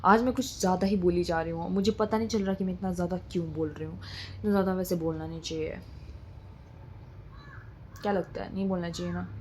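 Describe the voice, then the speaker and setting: A woman's voice: fairly low pitch, steady tone, quick pace, pauses often, darkish tone, slight background noise. A young woman vlogging in casual, rambling chat, often switching between Hindi and English.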